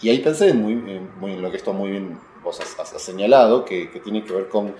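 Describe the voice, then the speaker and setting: A man's voice: sits low, fairly quick, dark tone. A man with a Uruguayan accent speaking as an interviewed writer, reflective and digressive, often searching for the right word. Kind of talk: conversational literary interview, intellectual discussion. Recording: roomy echo, noticeable background noise.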